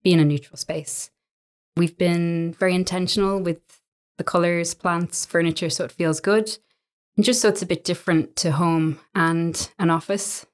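An Irish woman in her thirties, a business owner chatting as an interview guest, relaxed and full of little fillers and restarts. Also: on the low side, normal speed. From Ireland. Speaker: guest, co-owner of a coworking space. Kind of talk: relaxed conversational storytelling.

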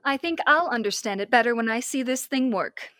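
A woman's voice: fairly high pitch, expressive, fairly quick, bright, forceful tone, no echo, clean studio sound. A young woman amateur playing a curious, admiring scientist's assistant in an old-time radio serial, inquisitive and wide-eyed. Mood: supportive. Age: young adult.

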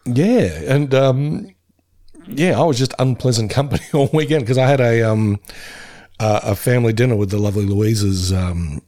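A man's voice: mid-range voice, moderate pace, dark tone. A middle-aged man co-hosting a casual podcast, telling jokey stories with plenty of filler ums. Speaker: podcast co-host.

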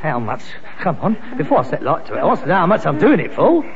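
An actor playing a frustrated grown-up son in a British sitcom, a London junk trader with big ideas and a sarcastic, indignant manner. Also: male voice, on the high side, wildly swooping pitch, quick pace. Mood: bitter, incredulous. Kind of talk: 1960s British sitcom acting. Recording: dull, muffled old sitcom recording.

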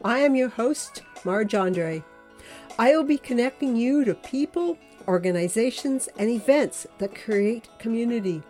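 A woman hosting a community podcast, introducing her guest and asking questions in a friendly, measured way. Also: fairly high pitch, expressive, a little mellow, soft background music. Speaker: podcast host.

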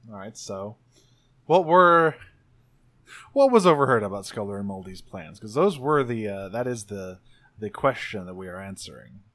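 A man's voice: fairly low pitch, animated, normal speed, a bit bright. A man chatting casually and playfully as he improvises a story in a tabletop role-playing game. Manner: playful, joking. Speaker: tabletop game player and podcaster.

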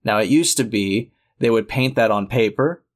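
A man's voice: lowish voice, normal speed. A podcast co-host with a nasal voice, talking loosely and at length. He laughs often and pokes fun at himself as he explains things. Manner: rambling, laughs often, self-mocking. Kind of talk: casual chat.

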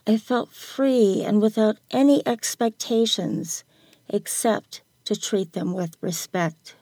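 A woman's voice: medium pitch, vocal fry, mellow, no echo. An older woman reading her own reflective written story aloud as a guest writer.

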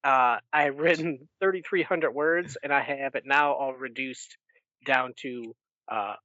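A man's voice: mid-range voice, measured pace, sharp tone, dull audio, a little hiss or hum. A man co-hosting a podcast, chatting casually through a book recap in a rambling way and often correcting himself. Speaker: podcast co-host.

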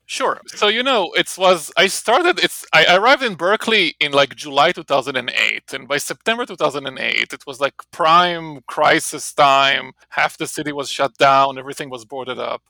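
A man's voice: fairly high pitch, moderate pace, creaky, few pauses, sharp tone, dry recording, low-quality recording. A man in his forties with an Israeli accent, a professor talking casually from his home office, rambling with many restarts. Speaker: marketing professor, guest. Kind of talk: casual interview talk.

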